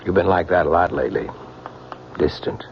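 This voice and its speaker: A man playing an earnest, conciliatory husband in an old-time radio drama, speaking a little haltingly. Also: low-pitched, fairly even intonation. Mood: earnest, apologetic. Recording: muffled, hissy vintage radio recording.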